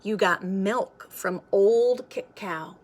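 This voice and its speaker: Storybook grandmother, read by a woman: elderly, steady, encouraging, unhurried delivery, mid-range pitch. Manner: gentle, reassuring. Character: steady, soft-spoken old Russian grandmother, encouraging.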